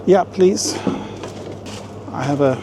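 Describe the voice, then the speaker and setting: A playful, jokey man talks casually as a travel vlogger on a handheld camera. His voice sits a touch high, and clear street noise runs under it outdoors. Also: slow pace. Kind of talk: casual vlog narration. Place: outdoors in a town and in shops.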